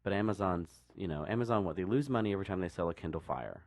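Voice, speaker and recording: Podcast co-host, male, low-pitched, close and dry sound, casual rambling explainer. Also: rapid-fire, dry recording. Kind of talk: casual discussion.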